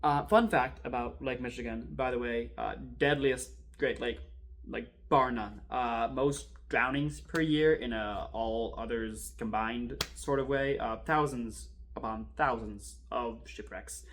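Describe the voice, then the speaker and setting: A man playing a wry, rattled archival assistant in a horror drama, rambling and sardonic as he records a halting confessional monologue. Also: mid-range voice, a bit bright, slight background noise. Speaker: performer in a fan audio-video drama. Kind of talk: found-footage confessional monologue, conversational storytelling.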